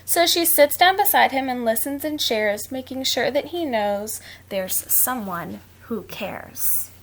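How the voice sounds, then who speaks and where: A woman's voice: high voice, slow pace, slight background noise. A woman reading picture books aloud to children like a teacher, expressively, with simple casual asides. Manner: expressive, simple explaining to young listeners.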